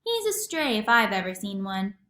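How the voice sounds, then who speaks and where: Storybook preacher father, read by a woman, mild and reserved, animated intonation, echoey room. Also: medium pitch, normal speed, pitched below the performer's own voice. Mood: gentle, amused.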